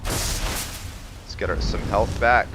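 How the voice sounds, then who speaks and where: Low-voiced man, halting casual game commentary. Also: background noise. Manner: halting, thinking aloud. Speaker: video game streamer, let's-play host. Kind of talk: casual roleplay gameplay commentary.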